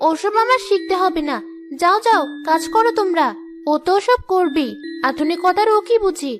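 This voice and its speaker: A very high, girlish voice of a young woman in a cartoon family drama. Music runs clearly behind the lines. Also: animated, moderate pace. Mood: vain, scornful. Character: young Bengali sisters, one vain, one dutiful. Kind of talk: cartoon story voice acting.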